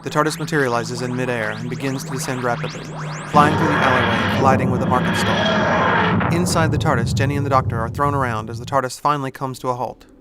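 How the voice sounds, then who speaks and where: A man's voice: medium pitch, fairly even intonation, fast talker. A man reading scripted, descriptive narration for an audio drama. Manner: descriptive, present-tense storytelling. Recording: music behind narration.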